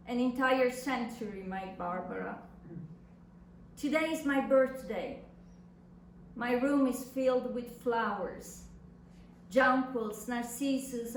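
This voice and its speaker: A woman reading love letters as a languid, ardent male poet, lyrical and dreamy. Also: fairly high pitch. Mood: languid longing. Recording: echoey room from far off, faint noise.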